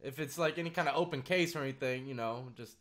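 A man's voice: medium pitch, fast talker, a bit bright. A man in his thirties with an American accent, hosting a show in a casual, slangy, informal way. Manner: informal, slangy, addresses listeners as "man" and "y'all". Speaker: host of a story-time video show. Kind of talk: casual chat. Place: small room with gaming setup at home.

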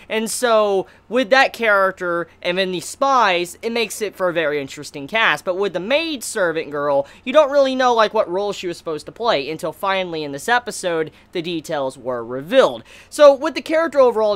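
A man's voice: sits high, fairly bright tone. An American man in his twenties giving a casual, rambling review to camera with emphatic stresses. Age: 20s. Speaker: anime reviewer. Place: small indoor room lined with bookshelves.

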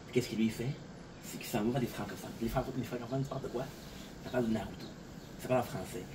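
Un homme de Montréal à l'accent québécois, un peu fatigué, qui parle de façon décousue en se répétant beaucoup. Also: male voice, medium pitch, fast talker, background noise. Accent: Québécois French. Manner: rambling, repeats words, frequent fillers. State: a little tired. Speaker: content creator. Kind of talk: casual talk to camera. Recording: distant voice with clear outdoor ambience.

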